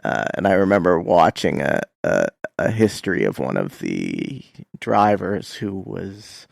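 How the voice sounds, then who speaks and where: Low, creaky-voiced Canadian man chatting. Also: huge pitch swings, no room sound. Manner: hesitant, reflective, halting. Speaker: radio show co-host. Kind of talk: casual chat.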